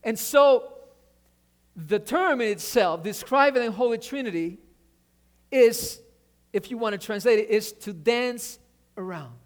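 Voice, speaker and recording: A man's voice: very high voice, unhurried, pauses often. A man whose first language is Spanish, preaching a sermon with energy, often restarting words and asking for an amen. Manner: animated preaching, repeats words, asks amen. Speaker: pastor.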